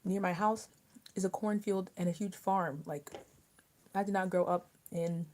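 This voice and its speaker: Casual livestreamer, young woman, lower mellow voice, rambling, whispery asides, faint hiss. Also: steady tone, unhurried. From US mid-Atlantic, rural border area. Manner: rambling, drops to soft near-whispers. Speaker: livestream host. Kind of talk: casual chat with live viewers while eating.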